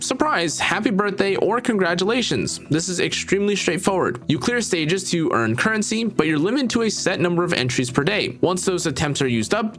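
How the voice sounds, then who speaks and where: A man's voice: a little high, fairly bright tone. A man narrating a scripted video game guide in an explanatory way, with emphatic phrasing. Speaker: gaming video creator.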